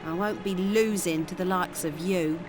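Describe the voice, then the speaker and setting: An actress playing a cocky young treasure-hunting thief in a video game, with an English accent and slangy, teasing talk. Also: female voice, lowish voice, steady tone, moderate pace, over music, lightly acted. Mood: smug teasing. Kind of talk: video game cutscene acting.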